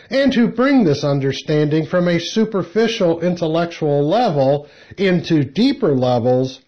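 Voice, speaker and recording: A man reading a spiritual lecture aloud in a measured, deliberate way. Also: fairly high pitch. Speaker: lecture reader. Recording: dull, muffled lo-fi audio.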